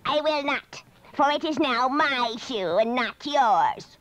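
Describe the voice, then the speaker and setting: A man voicing a cunning, cruel old witch, drawling his lines slowly in a storybook reading. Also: very high voice, expressive, a bit bright, no echo, voice pushed up, full character voice. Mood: mocking. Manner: slow drawn-out cackling delivery.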